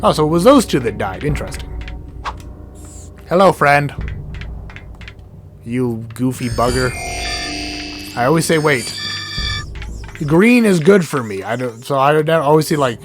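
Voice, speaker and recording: Hoarse man rambling over game music. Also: medium pitch, expressive, measured pace, long pauses. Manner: rambling, stuttering, self-correcting. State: hoarse from illness. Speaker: let's play gamer. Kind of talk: casual gameplay commentary.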